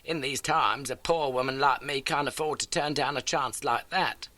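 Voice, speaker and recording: Man acting elderly working-class landlady, audiobook, garrulous, earnest, high bright voice, motherly. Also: normal speed, no room sound, lightly acted. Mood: chatty, earnest. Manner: chatty, folksy, dramatic storytelling.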